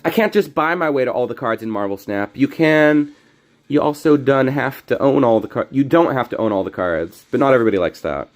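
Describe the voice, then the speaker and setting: A young man streaming a card game, talking casually and rambling with many restarts, his voice slightly hoarse. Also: mid-range voice, expressive, brisk. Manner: rambling, self-correcting. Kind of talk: casual gameplay commentary.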